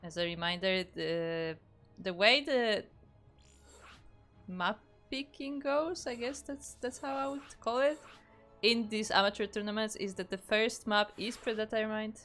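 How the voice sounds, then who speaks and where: A woman's voice: medium pitch, steady tone, a little slow, a bit bright. Chatty Polish-accented woman casting a live match, mid-range voice, faint music underneath. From Poland. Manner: chatty, reads out chat, frequent restarts. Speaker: esports caster. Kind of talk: live game commentary.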